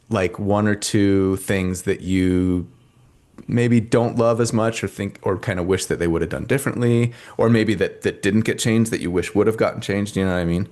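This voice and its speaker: A man in his thirties with a very low, soft, soothing voice asks questions slowly and hesitantly. He restarts often and keeps his tone gentle. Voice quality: soft, soothing voice likened to ASMR. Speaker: interviewer and show host. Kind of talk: casual interview chat.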